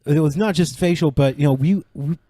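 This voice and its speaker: A man's voice: on the high side, a little fast, warm tone, no room sound. A man co-hosting a podcast in casual banter, giving emphatic, opinionated takes. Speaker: podcast co-host.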